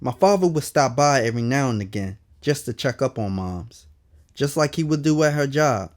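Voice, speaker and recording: A man's voice: mid-range voice, animated, moderate pace. A man narrating his own autobiography as an audiobook, in a candid, colloquial storytelling manner with emphatic asides. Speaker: author reading own autobiography.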